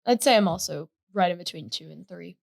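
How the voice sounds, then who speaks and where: A teenage girl co-hosting a podcast, chatting casually and reacting quickly to her partner. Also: lowish voice, gravelly, dry recording, clean studio sound. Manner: quick reactions, chiming in with agreement and disagreement. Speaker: podcast co-host. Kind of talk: casual chat.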